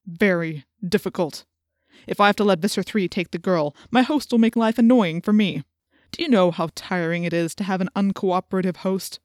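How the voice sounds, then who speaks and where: A woman's voice: medium pitch, moderate pace, darkish tone, dry recording, clean studio sound, natural voice. Woman voicing a cruel, menacing alien-possessed man. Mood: cold menace. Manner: threatening, commanding, gritted-teeth delivery. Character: cruel alien slug controlling a male assistant principal, menacing.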